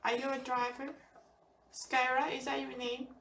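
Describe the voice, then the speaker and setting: A woman's voice: high-pitched, flat delivery, normal speed, fairly bright tone, recorded from a distance. A woman playing a needy, silly old male potato puppet in an improvised puppet show, exclaiming, hooting and drawing out words plaintively. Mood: silly, curious. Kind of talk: improvised puppet play with a small child. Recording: echoey, noisy home recording from afar.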